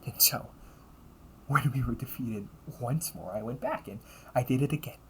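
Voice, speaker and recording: A man playing an earnest, weary time-traveling older brother turned villain in an amateur audio roleplay, speaking haltingly and often dropping to a breathy near-whisper. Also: mid-range voice, lightly acted. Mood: weary, desperate. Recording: noisy amateur home recording.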